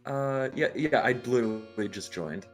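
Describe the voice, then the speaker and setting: A man's voice: medium pitch, moderate pace, faint music behind. A man chatting casually as a guest on a voice call. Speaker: voice actor joining the stream as guest. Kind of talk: casual chat.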